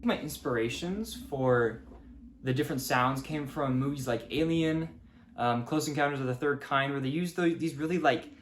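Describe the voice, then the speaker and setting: A man's voice: medium pitch, moderate pace. Teenage boy in an echoey room. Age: teens. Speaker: student sound and music creator. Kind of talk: casual interview answer. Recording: roomy echo, faint noise.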